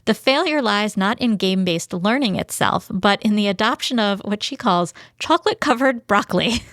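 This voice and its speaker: A woman's voice: mid-range voice, animated, normal speed, fairly bright tone, no room sound. Woman co-hosting a podcast, dry studio sound. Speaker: podcast co-host. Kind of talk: conversational podcast talk, summarizing articles.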